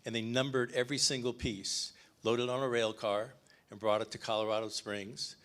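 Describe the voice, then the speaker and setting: A man's voice: medium pitch, level tone, moderate pace, a bit bright. A man moderating a lecture Q&A, prompting the audience for questions and adding a short story of his own. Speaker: event moderator.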